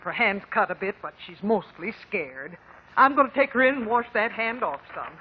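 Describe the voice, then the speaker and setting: A woman's voice: mid-range voice, expressive, normal speed, lightly acted. A woman playing a protective, comforting country grandmother with a rural American accent in 1950s radio drama style. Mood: reassuring. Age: older. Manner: folksy rustic speech. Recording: scratchy, noisy, muffled 1950 radio recording.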